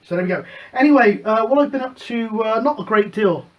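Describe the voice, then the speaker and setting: A middle-aged man talks through his radio gear in a loose, rambling way, dotting his speech with "uh" and "so". His voice sits fairly high for a man. He sounds relaxed and unscripted, like a hobbyist chatting to regular viewers. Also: a little fast, highs cut off, slight background noise. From United Kingdom. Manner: relaxed, rambling, many fillers. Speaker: radio hobby show host. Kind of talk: casual show-and-tell chat.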